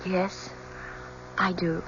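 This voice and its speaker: A woman's voice: lowish voice, flat delivery, very slow, a bit bright, full character voice. An actress in an old-time radio drama playing a troubled, conscience-torn young woman with a hesitant, emotional delivery. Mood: hesitant, subdued. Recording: muffled old radio recording, faint noise.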